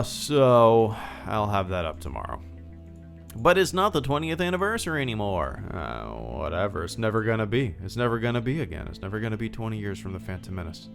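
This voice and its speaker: Low-voiced man chatting on a livestream. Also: fairly bright tone. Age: 30s. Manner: rambling, full of fillers and restarts; reads out viewer thank-yous. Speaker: livestream host. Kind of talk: casual livestream chat.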